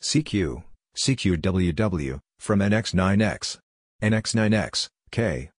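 A man with a very low voice narrates practice phrases from a script. He reads very slowly, setting out each short phrase deliberately, and the recording is dry and close. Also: lively intonation, no echo. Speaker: Morse code practice narrator. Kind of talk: scripted reading of radio phrases.

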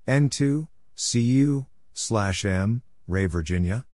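Male narrator, low-pitched and very slow, for measured instructional readouts delivered item by item. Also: lively intonation, background noise. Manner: measured, spelling out letters and numbers one at a time. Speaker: narrator of Morse code practice. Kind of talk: scripted readout of callsigns and exchanges.

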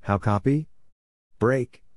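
A man reading out radio practice messages slowly in short, clipped phrases, as an instructor. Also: medium pitch, dry recording. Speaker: Morse code practice instructor.

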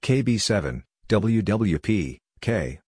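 A man with a fairly low voice narrates a practice drill in short scripted phrases. His delivery is measured, with letters and digits spelled out singly. The recording is dry, with hardly any room sound. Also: sing-song, darkish tone, slightly rough, no echo.

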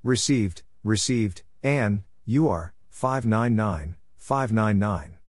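A man reading out scripted radio exchanges in a measured way, as narrator of a practice lesson. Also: lowish voice, animated. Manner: measured, spelling out call signs piece by piece. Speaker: Morse code practice narrator.

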